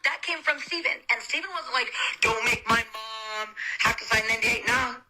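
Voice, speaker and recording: A woman's voice: fairly high pitch, steady tone, normal speed, crowd noise. A woman in a phone conversation, defensive and insistent, cutting in as she argues her side on a replayed call. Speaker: entertainment industry professional on a call. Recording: muffled-voiced phone call, replayed clip.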